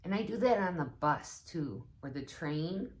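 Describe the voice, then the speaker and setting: A woman with a low voice leads story time for young children. She reads at an unhurried, slow pace and adds simple, instructive explanations. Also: lively intonation, darkish tone. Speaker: teaching assistant reading story time. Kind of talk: children's storybook read-aloud with explanations to kids.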